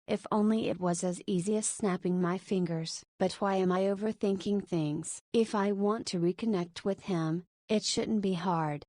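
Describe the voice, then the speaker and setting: A firm single mother in her forties, as a woman voices her for a visual novel. She scolds in a mid-pitched voice, then muses to herself. The recording is dry and close. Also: a little mellow, dry recording, natural voice. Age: 40s. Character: stern but soft-hearted single mother, 40. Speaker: mother and school principal.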